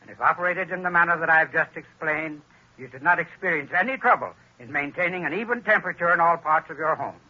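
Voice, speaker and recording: A man reading a scripted radio advertisement in a measured, instructive way. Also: on the high side, sharp tone. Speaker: sponsor spokesman. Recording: dull lo-fi old radio recording.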